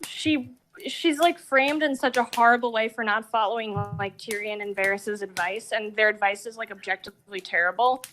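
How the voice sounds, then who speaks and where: A woman's voice: fairly high pitch, fairly even intonation, moderate pace, a bit bright, a little hiss or hum. A woman giving sardonic opinions in a casual conversation, often paraphrasing others with mocking mimicry. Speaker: guest co-discussant. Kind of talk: casual opinionated chat about a TV series.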